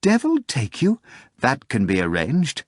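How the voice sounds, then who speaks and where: A man's voice: medium pitch, wildly swooping pitch, a little slow, no echo, clean studio sound. A man voicing a smug, insolent fat man with a cat-like face who speaks with a lazy smile.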